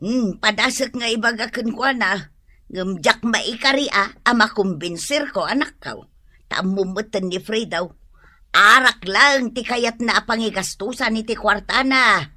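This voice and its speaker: A woman's voice: a little high, measured pace, sharp tone, dry recording, clean studio sound. An actress playing a scolding older mother of a stingy son in a radio comedy drama, with an Ilocano accent. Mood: resigned complaining.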